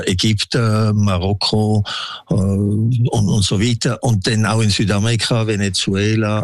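A man in his sixties with a Swiss German accent, telling his life story as an interview guest in a halting, anecdotal way. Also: on the low side, no room sound, clean studio sound. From Switzerland. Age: 60s.

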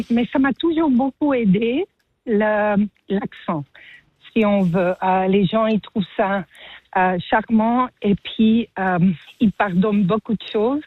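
A woman's voice: medium pitch, no echo. A woman phones in to a call-in show from outdoors while out with her dog. The tinny, narrow phone line strips her voice, and the sound is dry and close. She speaks hesitantly, with many fillers and a light laugh. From Mexico and the United States. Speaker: caller. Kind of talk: phone-in testimony.

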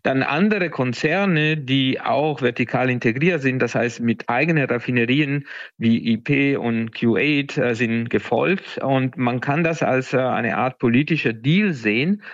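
A man's voice: medium pitch, no room sound, dull audio. Hesitant man with an Italian accent. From Italy. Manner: hesitant, many filler sounds. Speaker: economist guest. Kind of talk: interview answers.

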